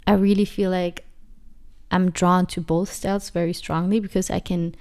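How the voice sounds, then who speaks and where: Soft-spoken young woman, thoughtful. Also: fairly low pitch, little pitch movement, normal speed, clean tone. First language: not English. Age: 20s. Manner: reflective, many fillers and restarts. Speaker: dancer and guest. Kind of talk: casual interview.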